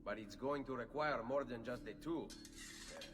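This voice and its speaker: An actor playing a calm assassin. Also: male voice, medium pitch, music in the background, lightly acted. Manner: calm, measured, courteous. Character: composed young male assassin hero. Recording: game audio with ambient noise underneath.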